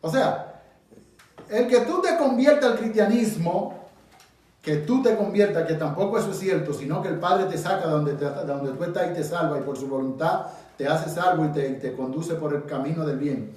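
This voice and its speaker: A man's voice: on the high side, normal speed, darkish tone. A man with a Dominican Spanish accent leading an interactive Bible study, asking his group questions and pressing his points emphatically. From Dominican Republic. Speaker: Bible teacher. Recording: echoey room from far off.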